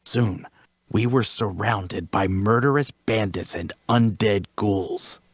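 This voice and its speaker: A man's voice: lowish voice, slow pace, husky, no echo, studio quality, full character voice. A man playing a self-important adventurer, telling a heroic tale in a grandiose, theatrical manner at a table read. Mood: grave, dramatic. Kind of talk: dramatic fantasy dialogue reading.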